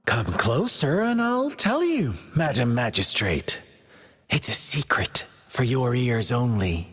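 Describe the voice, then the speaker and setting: A theatrical young villain from a game, sly and mocking, who swings his pitch all over and drags his words out slowly. His taunts slip into husky whispers, and the audio rings with a long reverb. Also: big pitch swings, a bit bright, exaggerated voice. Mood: gloating. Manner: playful taunting, teasing drawn-out delivery with whispers. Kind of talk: video game cutscene voice acting.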